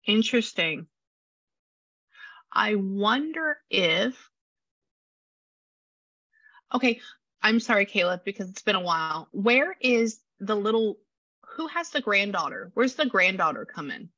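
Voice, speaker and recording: A woman with a low voice and a slight rasp, chatting through a spirit reading and passing on messages. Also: sing-song, unhurried, pauses often, sharp tone, dry recording, lo-fi sound. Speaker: psychic medium.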